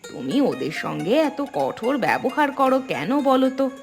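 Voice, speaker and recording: A kind-hearted rich man's wife played by a woman in a cartoon drama. She chides gently, over soft plucked-string music. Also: high-pitched, big pitch swings, measured pace, gravelly, exaggerated voice. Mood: reproachful. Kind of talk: scripted cartoon dialogue.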